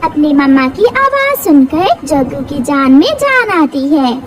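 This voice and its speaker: Slow high-voiced woman narrating a cartoon. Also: background noise. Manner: slow, even storybook telling. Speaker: storyteller.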